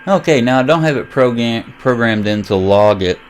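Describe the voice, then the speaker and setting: An American man giving a casual, unscripted software walkthrough as a hobby vlogger, halting as he thinks aloud. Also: mid-range voice, animated, slight background noise. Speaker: amateur radio vlogger demonstrating software. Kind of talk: casual unscripted screen tutorial.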